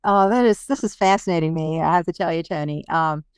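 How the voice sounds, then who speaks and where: A woman's voice: on the low side, fairly quick, smooth, dry recording. A woman hosting a casual interview, engaged and conversational in how she talks with her guest. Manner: engaged, laughs readily. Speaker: interview host.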